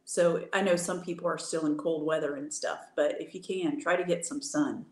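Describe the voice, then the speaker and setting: A woman's voice: deep voice, normal speed. A woman in her forties co-hosting a yarn shop livestream over a video call, telling everyday stories in a chatty way. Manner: storytelling, animated anecdotes with laughs. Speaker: yarn dyer and shop co-host. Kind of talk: casual livestream chat. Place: room at home. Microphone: webcam video call. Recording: echoey room over webcam.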